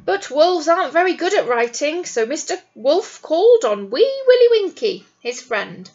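A middle-aged woman, a primary teacher reading a picture book to young children in an animated, explanatory way with questions to them. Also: sits high, normal speed, lo-fi sound. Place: small indoor classroom. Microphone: webcam or device at arm's length.

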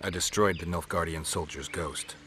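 A stoic witcher in a fantasy game. His voice is very low and his lines are blunt, terse and deadpan. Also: moderate pace, a little hiss or hum. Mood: stern, curt. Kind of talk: dramatic video game acting.

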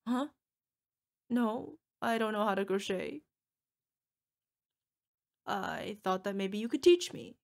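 A woman's voice: mid-range voice, takes it slowly, gravelly, long pauses, a little mellow. A woman playing an affectionate young orc girlfriend in an ASMR roleplay, emotional and playful as she talks to her partner. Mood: playful, defensive. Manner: gushing, emotional, playful, talking to an unheard partner. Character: young orc girlfriend, fierce yet cutesy, needy, affectionate.

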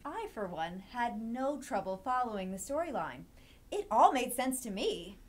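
A woman playing an earnest anime fan in a dramatic reading, with measured, deliberate phrasing. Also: a little high, very animated, a little hiss or hum. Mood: earnest, defensive. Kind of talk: dramatic reading of an old forum post.

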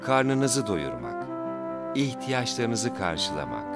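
Kind animated father: mid-range, animated intonation, patient and explanatory, music bed. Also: mid-range voice, slow pace, vocal fry, heavily acted. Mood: reassuring, earnest. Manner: explanatory, reassuring. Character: gentle, patient cartoon father of a young boy. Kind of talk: animated children's cartoon dialogue.

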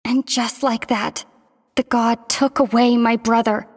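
Solemn young traveler in reverb. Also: female voice, on the high side, little pitch movement, unhurried. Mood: somber. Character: young woman traveler, calm, resolute, wistful. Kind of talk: narrated game cutscene. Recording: long hall reverb.

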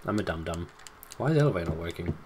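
Low-voiced joking man gaming. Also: lively intonation, rapid-fire, background noise. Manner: joking, swearing, self-mocking. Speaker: let's play co-host. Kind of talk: casual gameplay commentary.

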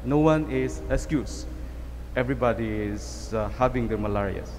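A man's voice: mid-range voice, moderate pace, darkish tone, a little hiss or hum. A Filipino priest giving a conversational homily at a lectern mic in a church, telling a story and often repeating his words. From Philippines. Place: church sanctuary. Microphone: stand mic at lectern. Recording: reverberant church, faint ambience.

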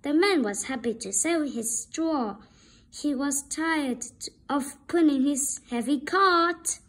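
A woman reading a children's story aloud with deliberate, expressive narration. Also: high-pitched. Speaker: storybook reader.

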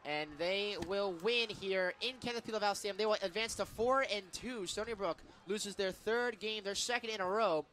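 An American man working as a radio play-by-play announcer, calling live football in an excitable, emphatic manner. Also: high-pitched, moderate pace, hardly any pauses. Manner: excitable, builds to emphatic calls on big plays.

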